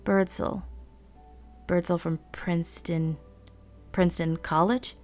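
A brusque grown woman, woken at an odd hour, answers the phone with a clipped, wary edge, as a woman reading a novel aloud puts her on. Her voice sits noticeably higher than the reader's own. Soft music murmurs faintly underneath. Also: fairly even intonation, slow pace. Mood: puzzled. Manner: sharp and suspicious, then sweetly friendly. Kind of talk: audiobook character voice.

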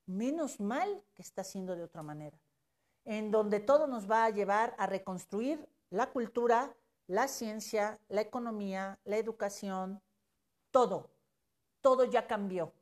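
A woman's voice: mid-range voice, expressive, unhurried, a little mellow. A Mexican woman in her fifties giving an emphatic, preachy motivational talk on social media, with casual swearing. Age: 50s.

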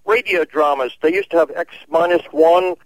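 A man with an American accent calling in to a radio show, halting but insistent in his casual remarks. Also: a little high, steady tone, crowd noise, low-quality recording. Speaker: radio call-in listener.

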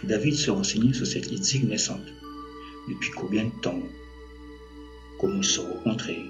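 A man narrating a scripted audio drama as a storyteller, in measured short phrases. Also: fairly low pitch. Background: music.

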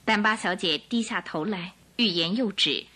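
A woman's voice: mid-range voice, moderate pace, sharp tone. A woman narrator reading scripted dramatic story passages for a radio drama.

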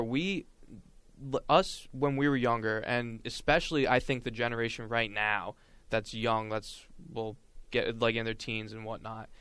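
A young man talks through his ideas in long, searching sentences, hosting a relaxed conversation with a friend. His voice is smooth and resonant, recorded close and dry with no room sound around it. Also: mid-range voice, dry recording. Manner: earnest, reflective, speaks in long winding sentences. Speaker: podcast host. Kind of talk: casual chat.

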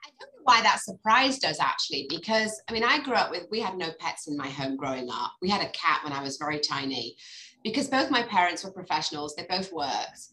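Lively, bright-voiced woman podcast host. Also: lowish voice, fairly quick. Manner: chatty, animated, laughs often, restarts. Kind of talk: casual interview chat. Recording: roomy echo.

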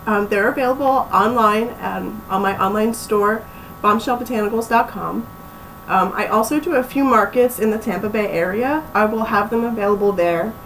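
A young woman from Pennsylvania, chatting casually as a small business owner in an interview, relaxed and full of ums. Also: mid-range voice, moderate pace, far from the mic, slight background noise, rough recording. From Pennsylvania, USA. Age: young adult. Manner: relaxed, chatty, laughs easily, many ums.

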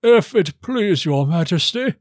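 Scornful baron, performed by a man, high-pitched, haughty, dismissive, animated, storybook character. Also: unhurried, dry recording, studio quality. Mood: deferential, formal. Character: haughty, scornful male baron, master of artillery. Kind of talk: audiobook character voice.

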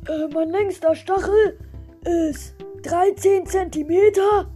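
Woman doing a little cactus, silly, giggly, high voice, slow talker, faint music behind. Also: fairly even intonation, mellow. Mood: goofy. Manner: giggles a lot, hesitant, drawn-out filler sounds. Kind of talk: podcast interview guest, playful improvisation.

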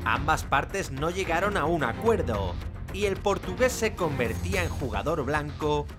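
A man narrating a sports documentary in a measured, dramatic scripted style. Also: fairly high pitch, very animated. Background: music.

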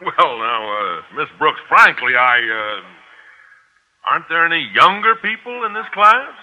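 A man's voice: a little high, very animated, unhurried, phone-quality sound. An actor playing a flustered, reluctant businessman with hesitant stammering, in 1950s radio sitcom style. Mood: flustered, pleading. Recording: very noisy old radio broadcast.

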